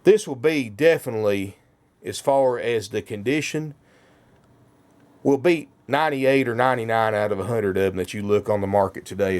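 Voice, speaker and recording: Folksy RV salesman with a cold. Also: medium pitch. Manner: folksy, keeps saying "folks". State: has a cold. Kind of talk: walkthrough sales pitch. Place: inside a motorhome.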